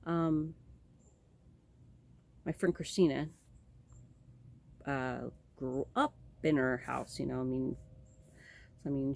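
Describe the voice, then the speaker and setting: A woman's voice: deep voice, a little mellow, slight background noise. A woman in her late forties from California, chatting casually to her camera about her life, rambling with many ums and restarts. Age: 40s. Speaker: vlogger. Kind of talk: casual personal chat to camera.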